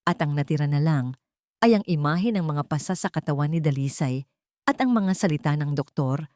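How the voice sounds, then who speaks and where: A woman's voice: low-pitched, lively intonation, moderate pace, dark tone, no room sound, clean studio sound. A woman reading a scripted story as a dramatic narrator.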